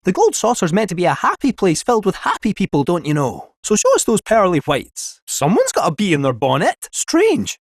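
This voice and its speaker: A cheeky, chatterbox fortune-telling cat from a video game, with a Scottish accent and a fairly high voice that bounces up and down. He talks in a cheery sing-song sales pitch. The recording is dry and close. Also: huge pitch swings, brisk, hardly any pauses, breathy edge, no room sound, exaggerated voice. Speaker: game character. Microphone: close studio mic.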